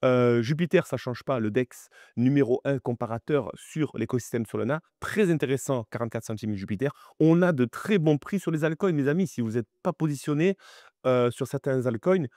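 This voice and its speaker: A man hosting a crypto market video, chatty and animated, with many fillers and direct appeals to viewers, sounding a little stuffy from the cold. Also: mid-range voice, normal speed, dry recording, clean studio sound. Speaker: crypto channel host. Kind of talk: crypto market analysis vlog.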